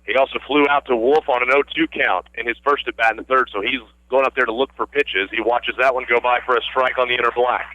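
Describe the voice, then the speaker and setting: A man's voice: mid-range voice, fairly even intonation, fast talker, hardly any pauses, bright, forceful tone, dry recording, dull audio. A man working as a radio announcer, giving live baseball play-by-play in short, descriptive phrases from the ballfield. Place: outdoor ballfield broadcast position.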